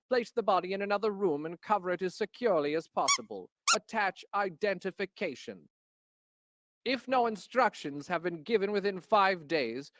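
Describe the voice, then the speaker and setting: A man's voice: high voice, a bit bright, a little hiss or hum. A man reading a scripted public information announcement in a formal, instructional way.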